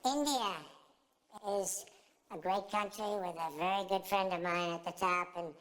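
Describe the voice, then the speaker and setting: A woman's voice: mid-range voice, a little slow, fairly bright tone, poor audio. A woman's voice, processed by a voice changer, giving public remarks.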